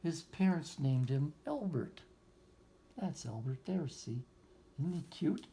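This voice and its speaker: Slow, mellow storyteller reading to children. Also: medium pitch, animated, a little hiss or hum. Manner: gentle, simple explanations for young children; musing asides and rhetorical questions. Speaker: physicist reading a picture book to children. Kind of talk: read-aloud storytelling with explanatory asides.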